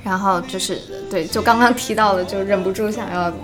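A woman's voice: medium pitch, steady tone, fairly quick. Effusive mainland Mandarin woman, music playing behind. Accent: mainland Chinese Mandarin. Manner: effusive, repeats phrases for emphasis. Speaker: voice drama cast or crew member on a live stream. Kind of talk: casual live-stream thank-you chat.

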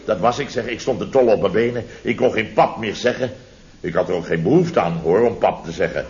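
An actor playing a flustered, blustering married building contractor boss in a radio comedy serial, excitable and stammering, repeating himself. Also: male voice, fairly low pitch, fairly quick, close to an everyday voice. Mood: exasperated. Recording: muffled vintage radio recording.

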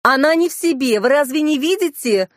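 A woman's voice: a little high, very animated, a little fast, no room sound, studio quality. A woman narrator voicing a defensive society wife who explains herself insistently. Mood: alarmed.

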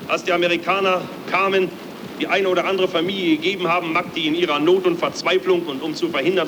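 A man's voice: fairly high pitch, noisy background. A man delivering a wartime propaganda speech in an emphatic, declamatory manner on an archival recording. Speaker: Nazi party political speaker.

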